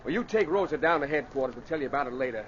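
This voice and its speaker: An actor playing a blunt, excitable frontier man with a folksy North American accent in an old radio drama. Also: mid-range voice, racing, close to an everyday voice. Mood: shaken, sheepish. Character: excitable, gruff frontier man, quick to scold, humble. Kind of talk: 1940s-50s radio drama acting. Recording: muffled old radio with faint noise.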